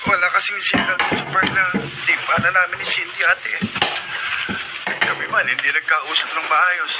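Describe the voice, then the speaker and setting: A man's voice: sits high, fairly even intonation, normal speed, heavy background noise. A man playing an anxious, earnest young deliveryman in love in a radio drama. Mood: worried. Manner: worried, earnest.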